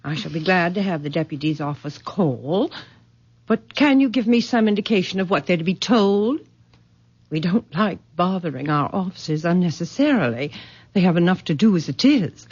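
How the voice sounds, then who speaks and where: A genteel middle-aged mother in a vintage radio drama. She is coolly controlling and formal, her intonation lively and theatrical, on a dull old recording. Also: on the low side, breathy edge. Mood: cool control. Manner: formal, measured, condescending endearments.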